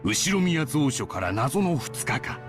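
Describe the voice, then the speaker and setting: An actor playing a talkative, self-satisfied mystery enthusiast in a Japanese visual novel, explaining with theatrical relish. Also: male voice, medium pitch, sing-song, moderate pace, natural voice. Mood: relishing explanation. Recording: game audio with clear music behind.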